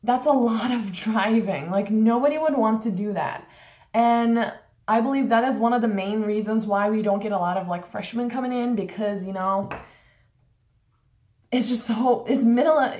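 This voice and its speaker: A woman's voice: on the high side, normal speed, a little mellow, reverberant. A young woman from Turkey, a college student vlogging, talks frankly and full of fillers while complaining. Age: young adult. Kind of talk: casual vlog rant. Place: small room indoors.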